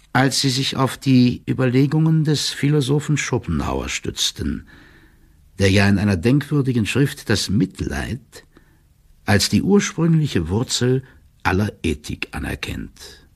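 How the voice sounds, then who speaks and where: A man playing a deferential, pedantic court clerk who reads out his letter in a formal, measured manner. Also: medium pitch, big pitch swings, vocal fry, breathy edge, heavily acted. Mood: officious, factual. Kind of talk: formal dramatic letter reading in an audio drama.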